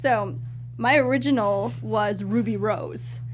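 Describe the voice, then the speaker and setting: A woman's voice: medium pitch, takes it slowly. A woman talking casually as a panel show co-host, with a faint background hum.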